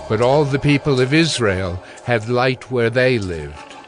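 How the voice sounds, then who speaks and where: A man narrating a scripted audiobook as a measured storyteller. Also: medium pitch, lively intonation, soft background music.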